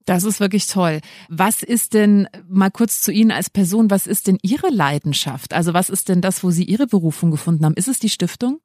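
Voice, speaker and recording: A woman's voice: mid-range voice, fairly quick, hardly any pauses, warm tone, no room sound, studio quality. A woman hosting an interview in a conversational, informal and enthusiastic way. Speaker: interview host.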